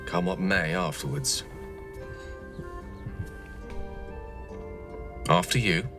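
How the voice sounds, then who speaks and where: A man's voice: sits low, normal speed, over music, natural voice. A man playing a proud, witty wizard in a video game, eloquent, theatrical and wry, nursing old heartbreak. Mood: earnest resolve. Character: proud, witty male wizard, wounded ex-lover of a goddess. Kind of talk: dramatic video game dialogue.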